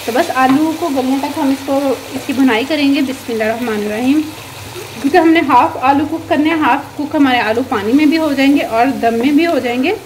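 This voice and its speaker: Woman, high voice, homely cooking narration, blessings throughout, faint kitchen sizzle. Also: brisk, slight background noise, rough recording. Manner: frequent religious blessings, homely instructive. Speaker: cooking channel host. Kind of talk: narrating a home cooking recipe. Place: home kitchen.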